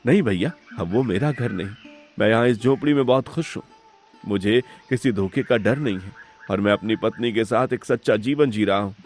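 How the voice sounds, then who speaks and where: A man's voice: on the low side, normal speed, darkish tone, faint music behind, natural voice. A man voicing a wronged but principled younger brother from a village in a melodramatic cartoon moral tale, speaking earnestly and sorrowfully. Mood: calm, firm. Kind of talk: melodramatic Hindi moral-story cartoon acting.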